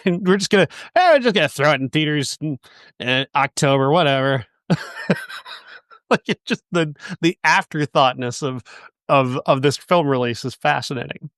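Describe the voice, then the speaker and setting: A man's voice: on the high side, lively intonation, moderate pace, fairly bright tone, no echo, studio quality. A man co-hosting a film podcast, chatting upbeat, laughing often, with filler ums. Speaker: podcast co-host. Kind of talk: casual film chat.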